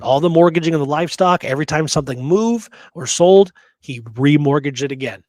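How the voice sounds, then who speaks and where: A man's voice: a little high, lively intonation, brisk, darkish tone, no echo, clean studio sound. A man hosting a casual podcast, recounting history in a colloquial, emphatic way and often checking that the listener follows. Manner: colloquial, emphatic, punctuates points with check-in questions. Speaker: podcast host and history researcher.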